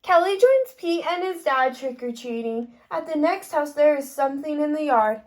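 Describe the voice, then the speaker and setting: A woman teaching kindergarten through an interactive picture-book read-aloud, expressive, pausing to ask questions and explain. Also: high voice.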